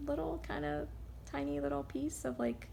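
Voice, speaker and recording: Rambling woman with a creaky voice. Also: high voice, fairly even intonation, dark tone, a little hiss or hum. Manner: rambling, halting, goes off on tangents. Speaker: tarot card reader. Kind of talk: casual, unscripted intuitive card reading.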